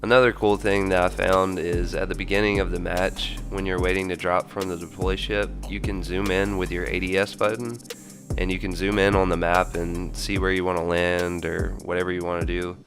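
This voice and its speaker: A young man with a deep, stuffy voice walks through game tips in an even, flat tone, still congested from a cold. Music runs clearly under his words. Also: normal speed. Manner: steady, explanatory delivery. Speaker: gaming channel host. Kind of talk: scripted game tips voice-over. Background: background music.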